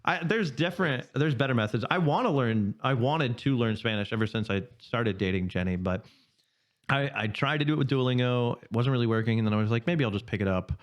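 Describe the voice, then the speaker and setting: A man's voice: medium pitch, brisk. A young man co-hosting a podcast, chatting casually and rambling with half-finished sentences and laughs. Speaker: podcast co-host. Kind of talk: casual chat.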